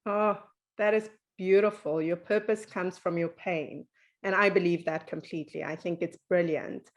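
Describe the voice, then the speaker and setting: Affirming female interviewer, lowish voice. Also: steady tone, normal speed. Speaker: interview host. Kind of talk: casual interview talk.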